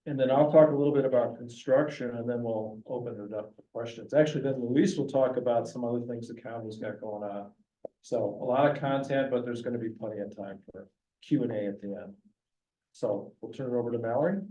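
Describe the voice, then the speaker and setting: A man with a mellow, warm voice plainly lays out the agenda for a neighborhood meeting. He sounds distant and dull, caught in a roomy hall away from the mic. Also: mid-range voice, fairly quick. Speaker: design consultant at a meeting. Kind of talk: public meeting remarks.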